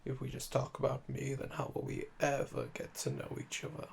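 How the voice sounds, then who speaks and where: A man playing a calm, flirtatious, obsessive assassin under interrogation in an ASMR audio drama, teasing and deliberate, with breathy murmurs. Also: mid-range voice, a little hiss or hum. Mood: coy, probing.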